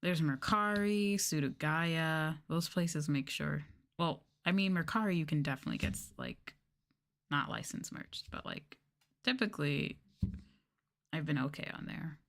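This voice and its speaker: A woman streamer chatting casually in a soft-spoken ASMR style, rambling and swearing as she goes. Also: low-pitched, sing-song, a little slow, gravelly. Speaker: VTuber streamer.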